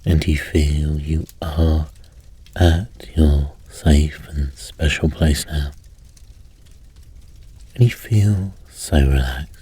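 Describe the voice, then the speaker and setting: A man guiding sleep hypnosis in a soft, breathy voice, calm and gentle, with measured pauses. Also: rumbling low. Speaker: hypnosis and meditation host. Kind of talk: guided sleep hypnosis, slow soothing narration.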